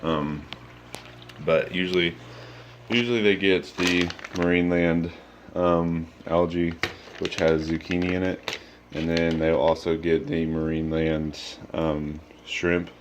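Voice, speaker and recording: A man's voice: low-pitched, a little slow, background noise. A young man with a sleepy voice, rambling casually with lots of ums as he shows his fish tanks at home, filming on a handheld phone. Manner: relaxed, rambling with many ums. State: sleepy, just woke up. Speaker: aquarium vlogger. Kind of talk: casual walkthrough vlog. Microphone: handheld phone camera.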